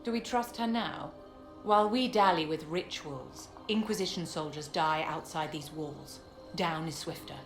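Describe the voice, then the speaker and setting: Low female voice, skeptical fantasy warrior, impatient, video game, roomy echo, faint music. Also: moderate pace. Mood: impatient, distrustful.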